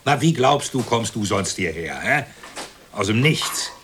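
A man's voice: medium pitch, expressive, a little slow, noisy background, lightly acted. A man playing a cold, greedy, cynical middle-aged husband in a German radio play, with a sneering manner. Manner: callous, sneering, laughing cruelly. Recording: loud music behind the voice.

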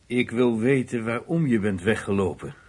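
A man playing a stern police officer in a naturalistic radio drama, husky, with a dry, clipped, insistent way of questioning. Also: mid-range voice, moderate pace, natural voice. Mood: patient, insistent.

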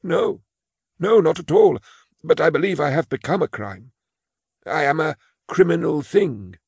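A man's voice: fairly high pitch, expressive, unhurried, a little mellow, dry recording, studio quality, full character voice. An actor playing a frail, elderly male clerk who is anxious and quavering, in an audio drama. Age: older. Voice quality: gravelly, husky.

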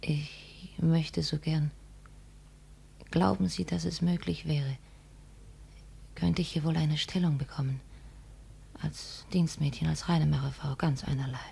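An actress playing a young, devoted and grieving daughter in a vintage radio drama, with a husky, often whispery voice. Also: female voice, low voice, steady tone, measured pace, pauses often, warm tone, full character voice. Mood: subdued grief. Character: grieving, devoted daughter humouring her deluded father. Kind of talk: 1950 German radio drama acting.

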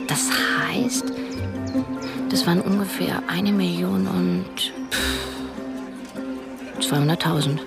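A woman's voice: fairly low pitch, vocal fry, heavily acted. An actress playing a wry, introspective woman who narrates her own story in a radio drama. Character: cash-strapped woman narrator, wry, conflicted amateur sleuth.

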